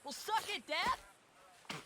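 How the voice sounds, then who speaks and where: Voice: extremely slow, sharp tone, slight background noise, full character voice. A performer playing an earnest, cheeky young frontier boy with an American Western accent, in a video game. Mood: panicked. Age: child.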